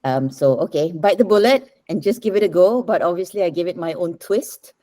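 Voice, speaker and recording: A woman's voice: lowish voice, a little fast, warm tone. A woman with a Malaysian accent explaining her work conversationally as an interview guest. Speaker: writer, podcast guest. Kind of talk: casual interview answers.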